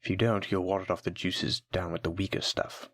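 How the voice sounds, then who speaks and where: A voice actor playing a kind, patient alchemy teacher in a fantasy audio roleplay, gently encouraging and instructing a new apprentice. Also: low voice, steady tone, normal speed, no room sound. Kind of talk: soft-spoken scripted fantasy audio roleplay.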